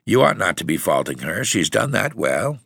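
A man's voice: sits low, sing-song, moderate pace, creaky, fairly bright tone, husky, dry recording, full character voice. A man voicing a kindly, frank neighbor woman in an audiobook story. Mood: admiring. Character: amused, approving Irish neighbor woman.

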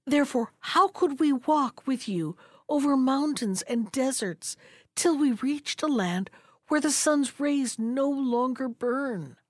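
Lady doing a sad earnest duck, higher voice, dry close sound. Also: animated, takes it slowly, no echo, full character voice. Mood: sorrowful. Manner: earnest, sorrowful. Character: sorrowful wild duck, gentle and anxious.

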